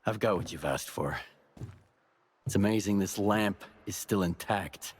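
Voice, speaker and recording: An actor playing a hardened, distrustful mercenary in a video game, terse and blunt. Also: male voice, on the low side, a little slow, slight background noise, close to an everyday voice. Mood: bitter, accusing. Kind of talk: video game cutscene acting.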